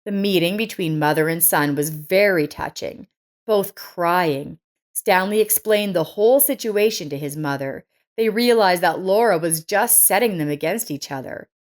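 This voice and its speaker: A woman's voice: on the low side, moderate pace. Woman narrating a scripted story.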